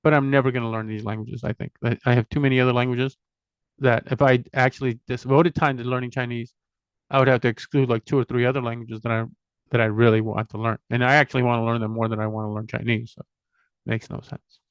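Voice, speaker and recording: A man's voice: medium pitch, level tone, fast talker, clear, resonant tone, no room sound, lo-fi sound. A middle-aged man hosting a language channel, talking casually and rambling from one item to the next, poking fun at himself along the way. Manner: chatty, digressive, self-deprecating, lists items one by one.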